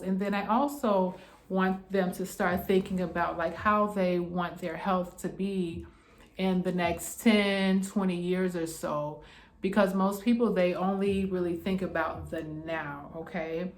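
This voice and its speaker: A woman's voice: fairly low pitch, steady tone, a little slow, reverberant. A woman in her forties with a New York accent, an acupuncturist explaining her sessions in a casual, reassuring way and often checking in with "okay?". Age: 40s. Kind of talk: casual explainer talking to camera.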